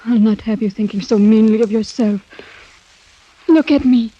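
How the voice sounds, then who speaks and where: A woman acting as a young French noblewoman, earnest, proud and full of anguish. Her voice is high and soft-toned, with formal, pleading melodrama in the manner of golden-age radio acting. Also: normal speed, highs cut off, close to an everyday voice. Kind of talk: 1940s-50s American radio drama acting.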